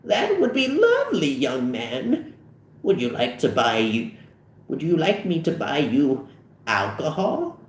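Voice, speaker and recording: A man's voice: fairly high pitch, huge pitch swings, unhurried, echoey room, voice pushed up, exaggerated voice. A man voicing a sweet, polite but cheeky old lady in a read-aloud comedy script. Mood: sweetly grateful.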